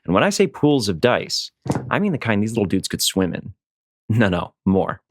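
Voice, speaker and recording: A man's voice: lowish voice, big pitch swings, normal speed, no echo, studio quality. A man announcing a tabletop game promo in a playful, scripted style.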